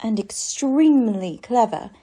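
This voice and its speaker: An actress playing a sweet, lovestruck young woman with soft, gushing 1940s radio drama delivery. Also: on the high side, expressive, a little slow, breathy edge. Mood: dreamy.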